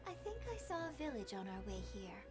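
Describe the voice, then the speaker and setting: A woman's voice: mid-range voice, sing-song, quick pace, a little mellow, faint music behind, close to an everyday voice. A woman playing a polite, thoughtful young traveller in a video game cutscene, courteous and articulate. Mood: polite, helpful.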